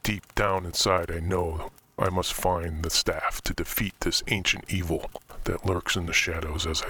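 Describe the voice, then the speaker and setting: An actor playing a brooding, theatrical occult collector, narrating a scripted horror tale in a measured way with dramatic pauses. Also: male voice, low voice, pushed and bright, a little hiss or hum, full character voice. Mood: grave, mysterious. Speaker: narrator and main character of horror fiction podcast. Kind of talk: scripted first-person horror storytelling.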